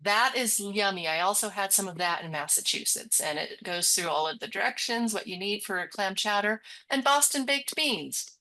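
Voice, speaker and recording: A woman with a bright, forward voice guides viewers through a website in a casual webinar. She talks in a chatty, upbeat way and giggles often. Her pitch sits in an ordinary middle range. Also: expressive, normal speed, few pauses. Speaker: library webinar co-host. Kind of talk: casual screen-share demo chat.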